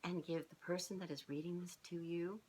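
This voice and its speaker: A woman's voice: lowish voice, steady tone, a little fast, a little mellow, a little hiss or hum. A middle-aged woman reading a children's storybook aloud, speaking expressively and directly to young listeners. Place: small furnished living room, indoors.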